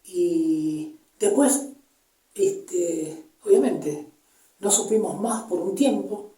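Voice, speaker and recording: A man in his fifties with a Buenos Aires area Argentine accent, recounting personal memories in a halting, reflective way, pausing to search for words. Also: sits high, lively intonation, dark tone. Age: 50s. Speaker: interviewee giving personal testimony. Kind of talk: personal storytelling, unscripted recollection. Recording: echoey room, distant microphone.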